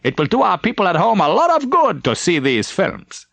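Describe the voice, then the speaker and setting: An imperious wartime admiral, acted out by a male audiobook reader, theatrical and commanding. The lines are clipped and declaimed, lifted well up in pitch with lively swings. The sound is close and dry. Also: moderate pace, vocal fry, no echo, heavily acted. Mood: grandly pleased.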